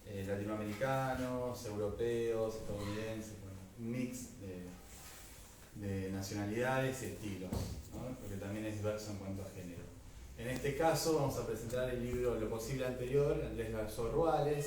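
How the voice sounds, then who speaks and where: Halting low-voiced man, echoey. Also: darkish tone. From Argentina. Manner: halting, many fillers and restarts. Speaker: book editor. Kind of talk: event introduction. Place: embassy event hall. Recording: echoey room, distant mic, faint noise.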